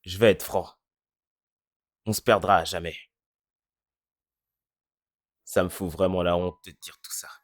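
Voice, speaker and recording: A performer voicing a cynical, self-deprecating teenage boy in a French fan dub who speaks in a deadpan way. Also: deep voice, measured pace, pauses often, dry recording, studio quality. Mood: earnest. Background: faint music.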